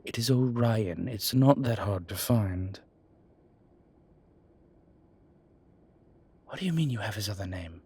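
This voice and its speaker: A man's voice: fairly low pitch, breathy edge. A man playing an exasperated, protective male vampire in an ASMR roleplay drama, scolding and sardonic. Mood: wary, puzzled. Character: exasperated, protective male vampire, dry-witted and world-weary. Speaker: voice actor.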